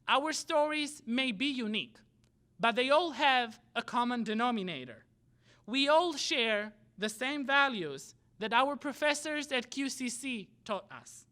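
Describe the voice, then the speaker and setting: A young man with an Albanian accent, giving a prepared graduation speech as student body president in measured, deliberate phrases. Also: very high-pitched, bright, forceful tone.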